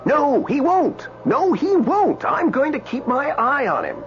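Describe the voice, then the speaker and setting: An actor playing the excitable, earnest ghost of a dead man set on saving lives in a radio drama. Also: very high-pitched, a little slow, heavily acted. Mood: excited, resolute. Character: ghost of a dead man, fervent, stubborn rescuer. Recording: muffled radio recording, loud music.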